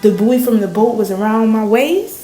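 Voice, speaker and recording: A woman's voice: on the high side, lively intonation, moderate pace, warm tone, slight background noise, close to an everyday voice. A woman playing a storm-shaken fisher in a radio play, recounting a surreal vision at sea in intense, colloquial storytelling. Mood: exhilarated. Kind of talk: dramatic radio play monologue. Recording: roomy, echoing sound.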